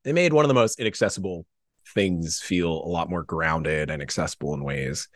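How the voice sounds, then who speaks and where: A man chatting casually as a podcast guest in a rambling, animated, slangy way. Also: low-pitched, normal speed, no echo. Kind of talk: casual chat.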